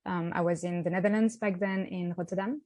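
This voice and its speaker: A woman's voice: fairly low pitch, steady tone, a little fast, mellow, dry recording. Guest, French woman, 30s, hesitant storyteller, dry recording. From south of France. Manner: hesitant, many ums and restarts. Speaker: guest, app founder. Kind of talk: interview conversation. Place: outdoors by the sea.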